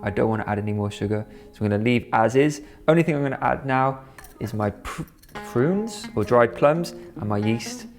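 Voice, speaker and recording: A man's voice: mid-range voice, lively intonation, normal speed, soft background music. A Welsh man chatting casually on camera while he works, enthusiastic and a little rambling. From Wales. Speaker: vlogger and shop owner.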